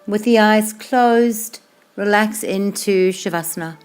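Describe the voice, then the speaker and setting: A woman yoga instructor leading a guided meditation in a slow, calm, soothing way, with unhurried phrases that trail off softly. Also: mid-range voice, clear, resonant tone. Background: music playing behind the voice.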